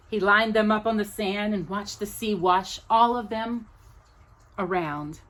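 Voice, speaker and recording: A woman reading a children's storybook aloud as a storytime host, in an expressive, drawn-out, playful manner. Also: on the high side. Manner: animated, drawn-out delivery with playful asides to a child.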